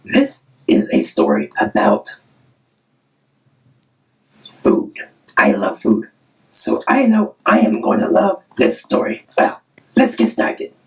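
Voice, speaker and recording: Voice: mid-range voice, wildly swooping pitch, slow pace, pauses often, a little mellow, distant mic, exaggerated voice, rough recording. A performer voicing a cheerful, friendly monster who hosts a children's story show in simple, chatty talk. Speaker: host of children's story channel.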